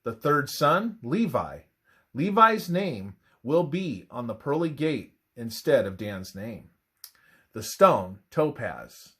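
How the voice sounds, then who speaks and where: A man reading a scripted religious narration aloud in a deliberate way, halting between short phrases. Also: medium pitch, a bit bright, breathy edge. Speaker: reader of a religious presentation.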